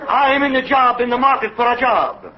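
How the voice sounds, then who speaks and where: An actor playing a zany, excitable Russian oddball in 1940s radio comedy, with a Russian accent and emphatic, mangled, theatrical phrasing. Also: male voice, very high-pitched, brisk. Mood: zany, gleeful. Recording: muffled, noisy old radio broadcast, loud audience.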